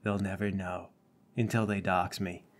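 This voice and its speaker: A man playing a tabletop game, trading casual comic banter in a deadpan way. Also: sits low, unhurried.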